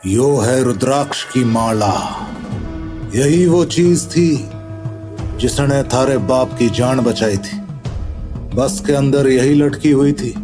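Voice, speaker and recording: A man's voice: medium pitch, takes it slowly, long pauses, music in the background, natural voice. A man playing a fearless, sly rustic with a Rajasthani-Haryanvi accent in a horror audio drama, drawling, mocking and unruffled. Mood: knowing, grim.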